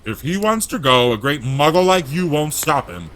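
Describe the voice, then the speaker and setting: A man voicing a gruff, warm-hearted giant gamekeeper, blustery and loyal, in growling rustic dialect for a storybook audio drama. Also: a little high, big pitch swings, moderate pace, voice pushed up, rough recording. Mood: thundering anger.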